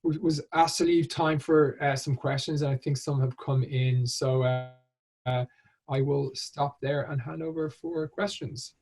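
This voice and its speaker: A man giving a business presentation as a speaker, in a halting, hesitant way with frequent ums. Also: a little high, steady tone, darkish tone, soft background music. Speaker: conference speaker.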